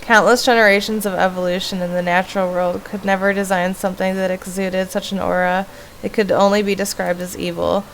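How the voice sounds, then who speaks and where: A woman's voice: mid-range voice, little pitch movement, a little fast, a little hiss or hum, poor audio. Woman streamer reading a horror game aloud. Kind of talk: reading a horror visual novel aloud with casual asides. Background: faint music.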